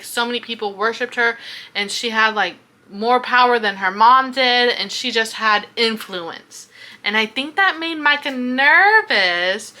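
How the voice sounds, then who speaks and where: A woman chatting casually about a book, expressive, with plenty of slang and swearing. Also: a little high. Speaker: book reviewer and vlogger.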